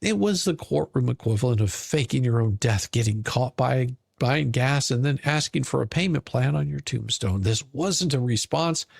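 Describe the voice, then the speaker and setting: A man narrating a scripted story in a dramatic, mocking manner, with deliberate theatrical pauses. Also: medium pitch, lively intonation, vocal fry, husky, no echo, clean studio sound. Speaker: podcast narrator.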